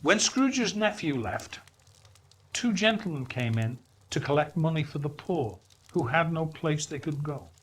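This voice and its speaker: Animated, slow-paced male storyteller. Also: on the high side, husky. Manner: deliberate, theatrical emphasis. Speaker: story reader. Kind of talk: dramatic storybook narration.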